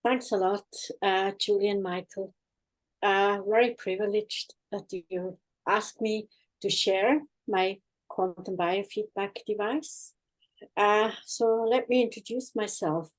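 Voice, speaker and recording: An older woman with a Swiss accent, telling her life story in a halting, plain-spoken way as an interview guest online. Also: medium pitch, fairly even intonation, rough recording. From Switzerland. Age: 60s or older. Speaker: guest, healing practitioner and former nurse. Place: online video chat.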